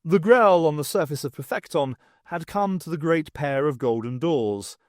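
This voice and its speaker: A man reading scripted fiction as an expressive audiobook narrator. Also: on the high side, normal speed, dry recording, studio quality.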